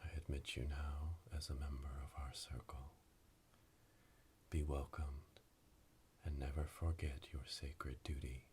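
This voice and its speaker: Man as a slow, whispering, solemn coven witch. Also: very deep voice, slight background noise. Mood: solemn, hushed. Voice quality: breathy whisper, monotone. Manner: slow, hushed, ceremonial whispering. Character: solemn witch elder leading a coven initiation. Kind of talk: whispered ASMR roleplay. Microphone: close binaural microphone.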